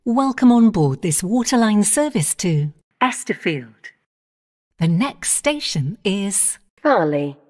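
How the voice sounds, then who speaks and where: Woman, onboard train announcer; slow, even delivery with a lively rise and fall, station-by-station phrasing. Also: medium pitch. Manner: measured, clipped station-by-station phrasing.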